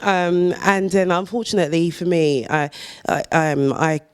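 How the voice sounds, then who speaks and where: A woman's voice: on the low side, a little slow, clear, resonant tone, no echo. A woman artist speaking casually on a panel, chatty and self-deprecating, laughing often. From United Kingdom. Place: gallery discussion event.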